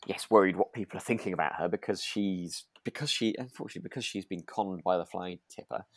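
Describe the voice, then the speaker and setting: A man's voice: low-pitched, quick pace, dry recording. A man co-hosting a podcast, chatting casually and agreeably in a rambling, hesitant way. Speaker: podcast co-host. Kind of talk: relaxed casual discussion of a radio drama.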